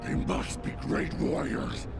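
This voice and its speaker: An actor voicing a brutish, servile orc captain in a fantasy game, with a harsh, croaking snarl. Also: male voice, slow, lightly acted. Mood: wary, snarling. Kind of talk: fantasy video game cutscene acting. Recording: loud music under voice.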